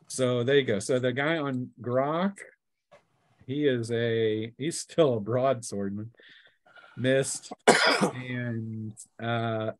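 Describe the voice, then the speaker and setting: A man's voice: mid-range voice, dry recording. A man acting as game master, narrating tabletop combat casually and teasing his players.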